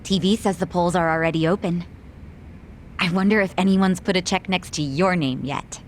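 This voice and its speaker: Level-headed, teasing female comrade, played by an actress, lowish pitch, faint background noise, for a game. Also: steady tone, moderate pace, close to an everyday voice. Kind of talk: video game cutscene acting.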